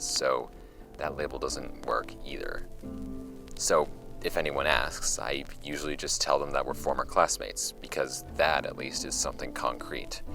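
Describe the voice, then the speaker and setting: An actor playing a wry archive host, reading old case files aloud in a measured, conversational way for a scripted audio drama. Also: male voice, a bass voice, vocal fry, exaggerated voice. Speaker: host of a fictional case-file podcast. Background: music under the voice.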